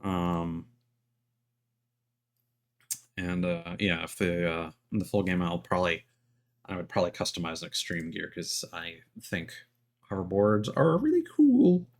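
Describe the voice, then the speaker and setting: A man's voice: sits low, sing-song, normal speed. A man doing casual gameplay commentary as a livestreamer, rambling and easily sidetracked, with casual swearing. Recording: game music playing behind voice. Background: video game music.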